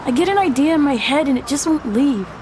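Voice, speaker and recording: Woman acting high-voiced anxious schoolboy. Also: expressive, quick pace, a little mellow, a little hiss or hum. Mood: hesitant. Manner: earnest, hesitant. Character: anxious middle school boy confiding in his best friend. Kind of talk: family audio drama acting.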